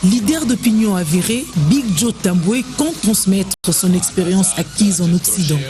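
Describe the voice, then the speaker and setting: A man reading a scripted news report as a voice-over in an even delivery. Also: sits high, a little slow. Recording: voice-over over loud music.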